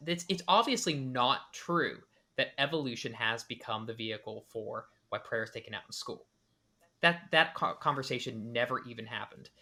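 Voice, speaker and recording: Male podcast host reacting to a clip, bright voice, analytical, lots of restarts. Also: mid-range voice. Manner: analytical, with many restarts and hedged speculation. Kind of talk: reaction commentary.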